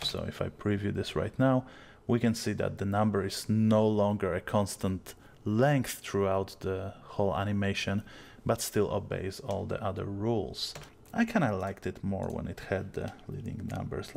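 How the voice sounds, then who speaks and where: A young man from Poland with a Polish accent, walking through a software tutorial step by step in a relaxed, explanatory way. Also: lowish voice, gravelly, a little hiss or hum. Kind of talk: screen-recorded software tutorial.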